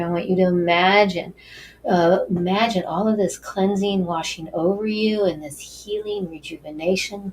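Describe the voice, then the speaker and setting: A woman leading a guided healing meditation, talking softly and slowly and drifting into breathy whispers. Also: medium pitch, slight background noise, rough recording.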